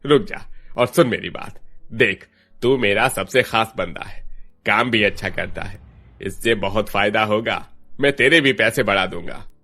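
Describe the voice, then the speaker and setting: A man's voice: lowish voice, a little slow, bright, forceful tone, faint music behind. A man playing a crooked, greedy factory boss in a cartoon story, swaggering between cajoling and threats.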